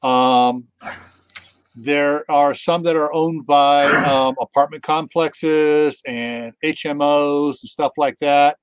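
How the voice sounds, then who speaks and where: A man's voice: fairly high pitch, fairly even intonation, slow pace, smooth, dry recording. Rambling middle-aged man on a webcam. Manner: rambling, lots of ums and you knows. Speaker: host. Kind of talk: casual video call chat. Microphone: webcam on video call. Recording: dull, dry webcam audio.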